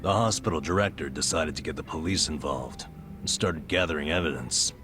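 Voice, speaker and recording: A man's voice: a bass voice, brisk. An actor playing a burned-out, middle-aged former nurse in a video game, telling his story with an American accent and a wry, self-deprecating weariness. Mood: wry, regretful. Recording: music behind the voice.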